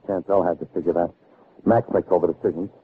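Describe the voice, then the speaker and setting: Resentful lab assistant, lowish pitch, uneasy, weary, 1960s radio drama, phone-like old recording. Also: male voice, steady tone, fast, husky. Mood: resentful, grudging. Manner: agitated, grudging.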